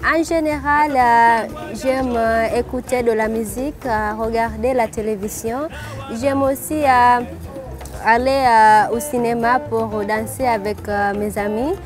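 Tanzanian receptionist in her twenties, higher voice, slow, music behind. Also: fairly even intonation. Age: 20s. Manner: hesitant, many fillers. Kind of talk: interview answers.

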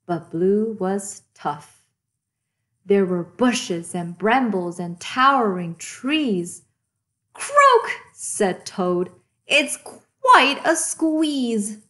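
A woman reads a picture book aloud as a storytime host. Her pace is very slow and deliberate, and her pitch moves expressively for young listeners. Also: animated.

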